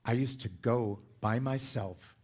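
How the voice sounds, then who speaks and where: A man in his sixties from California with a deep voice, giving a chatty, playful talk to a congregation and drawing the audience in. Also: unhurried, a little mellow. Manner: chatty, playful storyteller who asks the audience for input. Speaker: speaker at a spiritual center.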